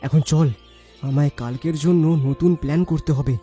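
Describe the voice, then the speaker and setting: Crafty young man, rather high and mellow acted. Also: steady tone, normal speed, soft background music, close to an everyday voice. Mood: gleeful. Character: crafty, confident young male best friend, bossy schemer. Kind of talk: dramatic cartoon voice acting.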